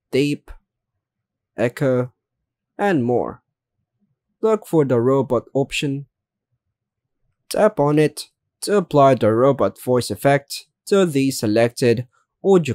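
Slow-paced man narrating a tutorial. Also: mid-range voice, darkish tone, no echo. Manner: measured, step-by-step instructing. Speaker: tutorial host. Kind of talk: scripted how-to narration.

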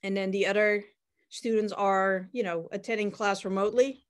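A woman's voice: mid-range voice, normal speed. Dutch-accented woman presenting on a call. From Netherlands. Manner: plain, steady, with frequent "uh" fillers. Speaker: ceramics teacher and artist. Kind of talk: presenting her work over a video call. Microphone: video call.

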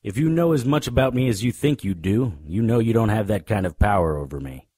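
A man playing a cocky, world-weary paranormal investigator, narrating a noir radio drama in a wry, deadpan way. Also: lowish voice, brisk, slightly rough, no room sound, clean studio sound. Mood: wry, cool.

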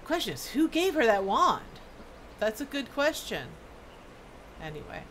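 A woman's voice: on the high side, sing-song, measured pace, a bit bright, slight background noise. A woman streamer giving casual, chatty live commentary on a video game, reacting aloud and reading bits of quest text.

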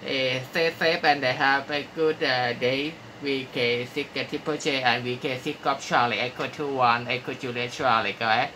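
A man with a Thai accent speaking halting, courteous English as an amateur radio operator, giving call signs and sign-offs. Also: medium pitch, fairly even intonation, very noisy. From Thailand. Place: small room at a radio desk, indoors.

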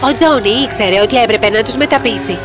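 A woman's voice: fairly high pitch, over music. A woman narrator reading a scripted children's fairy tale.

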